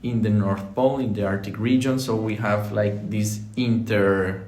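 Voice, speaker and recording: Young Colombian-accented male host, relaxed and hesitant, a bit low-voiced, echoey room. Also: moderate pace. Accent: Colombian Spanish. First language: Spanish. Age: young adult. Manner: relaxed, searching for words, hesitant with fillers. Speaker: podcast host, architecture student. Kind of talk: casual student podcast chat.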